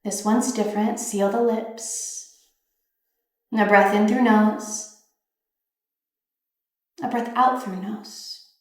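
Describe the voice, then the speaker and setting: A woman leading a guided meditation, giving gentle, soothing instructions in an unhurried way with pauses. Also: mid-range voice, fairly even intonation. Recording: very echoey, reverberant room.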